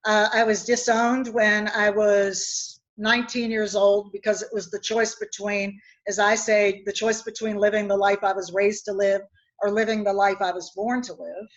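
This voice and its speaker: A woman's voice: medium pitch, flat delivery, normal speed. A woman from West Virginia, an executive coach speaking in a webinar, explaining her points earnestly through anecdotes. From West Virginia, USA. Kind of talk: webinar discussion. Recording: dull lo-fi webinar audio.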